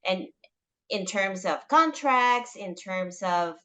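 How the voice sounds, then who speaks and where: A woman from the Republic, interviewing a guest and pausing often on "um". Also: medium pitch, expressive, fairly bright tone. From Dominican Republic. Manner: hesitant, many "um" pauses. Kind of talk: interview questions.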